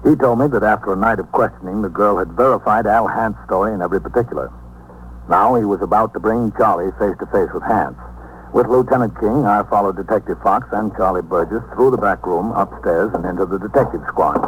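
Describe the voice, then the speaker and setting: An actor playing a sober American police captain, narrating a case in an official, measured 1950s radio style. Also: male voice, on the low side, lightly acted. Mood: matter-of-fact. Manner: matter-of-fact, official, measured. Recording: noisy 1950s radio recording.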